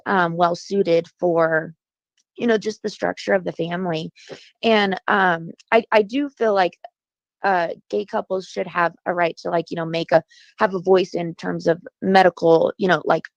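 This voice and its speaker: A woman's voice: on the low side, creaky, no room sound. A woman calling in to a radio talk show, with a froggy just-woken voice and hesitant talk full of fillers and restarts. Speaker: caller. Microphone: phone. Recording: dull, muffled phone line.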